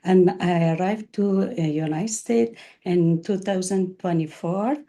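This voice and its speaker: A woman's voice: fairly low pitch, unhurried, warm tone. A woman from Afghanistan, a Pashto speaker, introducing herself carefully with some hesitation. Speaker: apprentice.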